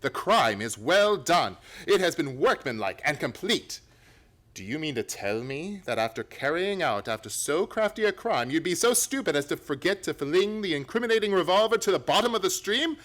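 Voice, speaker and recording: A man performs a self-assured gentleman detective in a radio play. The voice is fairly high and very animated. He reasons aloud with courteous but emphatic, theatrical delivery. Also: normal speed. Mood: emphatic, incredulous. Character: confident, analytical gentleman detective, incisive and courteous.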